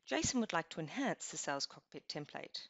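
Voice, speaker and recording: A woman narrating a scripted software walkthrough, explaining each step in an even, instructional way. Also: low-pitched, brisk, vocal fry, no room sound. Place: voice-over over screen recording. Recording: dull lo-fi voice-over.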